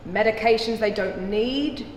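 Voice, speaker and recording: An impassioned lawyer played by a woman delivers a solemn dramatized courtroom speech at a middle pitch. The phrasing is deliberate and rhetorical, with emphatic pauses. The hall echoes, with faint noise behind. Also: close to an everyday voice. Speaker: lawyer giving a closing argument.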